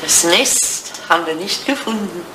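A woman's voice: fairly low pitch, lively intonation, moderate pace, sharp tone. An actress playing an elderly English lady, a playful and sentimental old flame, in a German radio play. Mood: delighted, nostalgic. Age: older. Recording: roomy sound with clear background noise.

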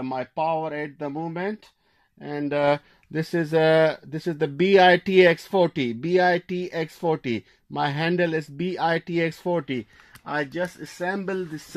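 A man with a high voice talks as an amateur radio operator making a contact. He repeats his phrases and call signs as he goes, speaking from a desk indoors. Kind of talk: ham radio contact exchange.

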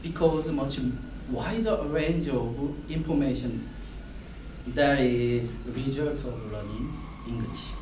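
Korean man in his thirties giving a class speech, slow and halting, taped from far across a roomy classroom. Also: medium pitch. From South Korea. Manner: halting, repeats and restarts words, gestures while reading. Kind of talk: reading a prepared class speech. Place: classroom in front of a whiteboard. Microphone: far camera. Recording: roomy, distant, noisy classroom audio.

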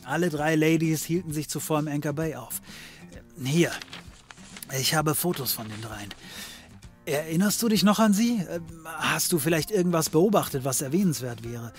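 An actor playing a hard-drinking occult detective in a comedy audio drama, speaking in a casual, probing, slangy way. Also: male voice, fairly high pitch, animated, normal speed, soft background music, close to an everyday voice. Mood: inquisitive. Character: male occult private detective, dry, hard-drinking, pale.

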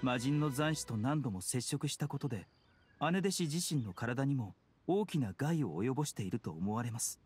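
An actor playing a calm, courteous young male doctor in a video game, speaking politely and in a measured, formal way. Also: mid-range voice, breathy edge. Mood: measured concern. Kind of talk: Japanese video game voice acting. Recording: studio voice over game music. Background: background music.

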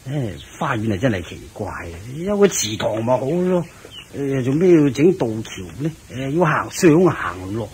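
A man's voice: mid-range voice, very animated, moderate pace, darkish tone, natural voice. A man with a Hong Kong Cantonese accent playing a fat, fussy king in a radio drama, sighing and grumbling as if weary of the heat. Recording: clear background noise.

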